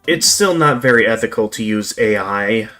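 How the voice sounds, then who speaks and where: A man hosting a game livestream, talking in a measured way with many "like"s. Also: on the low side, expressive, bright, forceful tone, soft background music. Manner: measured, lots of "like" and "again". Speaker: streamer host. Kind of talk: casual livestream chat.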